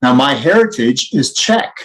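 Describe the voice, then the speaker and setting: A man's voice: mid-range voice, big pitch swings, a little slow, fairly bright tone, poor audio. Reflective man in podcast conversation. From Canada. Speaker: podcast co-host.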